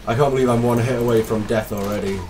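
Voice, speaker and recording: A man with a slight cold, casually commentating his gameplay as a let's play host, excitable and self-deprecating. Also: mid-range voice, fast, far from the mic, over music.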